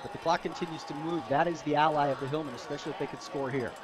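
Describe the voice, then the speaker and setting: A fairly high-voiced American man adds color commentary to a football broadcast. He talks in a chatty, folksy, conversational way. The noise of a big outdoor crowd is clearly audible behind him. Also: steady tone, racing. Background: stadium crowd.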